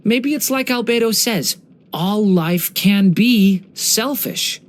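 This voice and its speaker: A young man voicing a gentle, earnest dragon boy for a video game, polite and slightly hesitant. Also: sits high, slow. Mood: earnest, reflective. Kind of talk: video game character voice lines.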